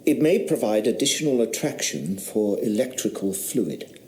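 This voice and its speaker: A man's voice: on the low side, animated, dark tone, echoey room, a little hiss or hum, close to an everyday voice. A man playing an earnest scientist squire. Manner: earnest, measured, defensive. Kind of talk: radio drama acting.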